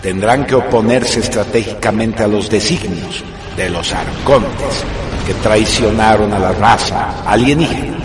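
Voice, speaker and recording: A man's voice: on the low side, measured pace, a loud crowd. A man narrating a radio drama in a solemn, grandiose scripted style with dramatic emphasis. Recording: music behind the voice. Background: music.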